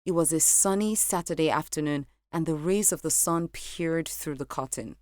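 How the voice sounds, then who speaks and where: A young woman with a Nigerian accent narrating a scripted personal story in a lively, animated way. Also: low-pitched, moderate pace, dry recording, clean studio sound. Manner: lively, animated delivery with light laughs. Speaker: podcast narrator.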